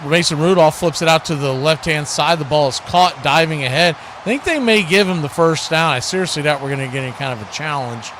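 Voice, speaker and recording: A man with a fairly high voice does play-by-play for a live football watch party. He talks in a folksy, conversational way, with clear background noise behind him. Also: a little fast, few pauses. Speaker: play-by-play host.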